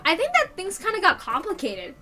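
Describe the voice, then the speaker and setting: A woman's voice: very high-pitched, huge pitch swings, fairly quick, sharp tone, soft background music, pitched above the performer's own voice, heavily acted. A woman voicing a brash, skeptical, teasing teenage girl in a visual novel. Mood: brash, insistent.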